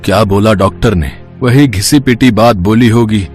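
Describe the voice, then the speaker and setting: A man's voice: lowish voice, normal speed, darkish tone, soft background music, lightly acted. A man playing a bitter, snapping invalid husband. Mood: scornful. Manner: sarcastic, snapping.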